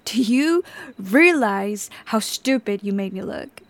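A young woman voicing an obsessive, volatile captor in an audio roleplay, sliding between soft whispers and sudden sharp outbursts. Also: medium pitch, animated, takes it slowly, no room sound. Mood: resentful.

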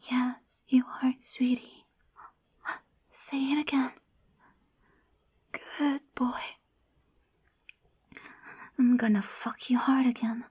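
A woman's voice: high-pitched, fairly even intonation, takes it slowly, pauses often, darkish tone, full character voice. A woman playing a domineering, possessive lover in an intimate audio roleplay, whispery and commanding. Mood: coaxing. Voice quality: breathy, whispery. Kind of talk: adult ASMR audio roleplay.

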